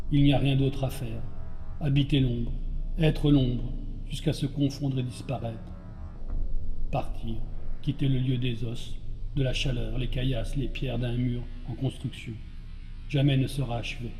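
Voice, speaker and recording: A man reciting poetry, dark and flat. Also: mid-range voice. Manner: solemn, measured, short clipped phrases. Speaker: poet. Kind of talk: spoken-word poetry reading. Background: music.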